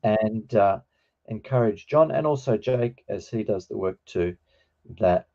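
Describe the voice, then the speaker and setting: A middle-aged man with a slightly croaky voice, teaching an informal online lesson in a hesitant, explanatory way. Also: on the low side, lively intonation, measured pace, warm tone. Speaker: teacher of New Testament Greek. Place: online video call with screen share. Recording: dull, lo-fi online call.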